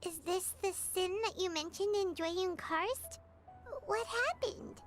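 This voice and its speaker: An actress playing a worried young granddaughter in a video game, with a sweet, earnest, anxious manner. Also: female voice, very high-pitched, slow pace, faint music behind. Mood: curious, worried. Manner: concerned, earnest questioning. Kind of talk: scripted video game voice acting.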